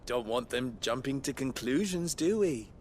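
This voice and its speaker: An actor in a cinematic video game playing a young merchant boss who is sly, smug and condescending, with mocking, mannered rhetorical questions. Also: male voice, mid-range voice, lively intonation, moderate pace, a little hiss or hum, lightly acted. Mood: veiled threat.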